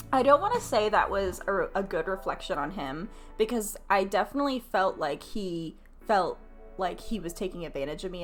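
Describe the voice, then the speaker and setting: A woman in her late twenties chats to her stream in a mid-range voice, taking her time over each phrase. She is candid and crude-humored, swearing freely and poking fun at herself. Music plays clearly behind her. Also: mid-range voice, sharp tone. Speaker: game streamer. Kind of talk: casual storytelling chat with livestream viewers.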